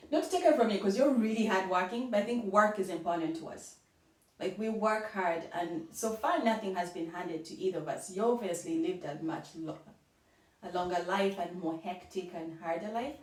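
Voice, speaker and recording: A young woman with a Ugandan accent, talking fast and chattily in a relaxed conversation, laughing now and then. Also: lowish voice. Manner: quick, chatty, laughs often. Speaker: co-host. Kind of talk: casual conversation. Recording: echoey room, distant mic.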